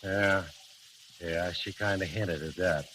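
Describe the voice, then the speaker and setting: A man's voice: low-pitched, steady tone, takes it slowly. A man acting a homespun, bashful middle-aged American husband in a 1930s radio drama, hesitant and folksy. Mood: flustered. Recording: hissy, crackling 1930s radio recording.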